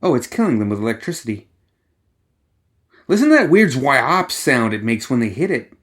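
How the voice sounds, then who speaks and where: A man voicing a cocky, quirky young gunslinger woman from an audiobook, who chatters in teasing, excitable exclamations. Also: medium pitch. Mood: excited. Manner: rapid-fire, teasing, exclamatory commentary.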